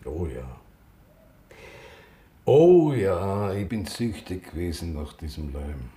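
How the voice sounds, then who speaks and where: Sharp-tongued Austrian drag queen. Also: sits low, big pitch swings, slow pace, husky, exaggerated voice. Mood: wistful. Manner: theatrical, teasing. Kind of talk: naturalistic radio drama dialogue.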